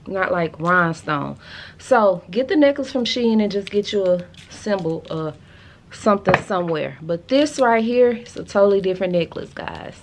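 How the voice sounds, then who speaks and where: An American woman in her thirties chatting casually to camera in a sassy, slangy, animated way, as a vlogger showing a haul. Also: mid-range voice, normal speed, a little hiss or hum. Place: furnished room at home, indoors.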